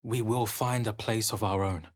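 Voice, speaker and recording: A man's voice: fairly low pitch, steady tone, moderate pace, natural voice. An actor playing a grim, weary male vampire spawn in a dramatic video game, with a terse, brooding delivery. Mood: resolute.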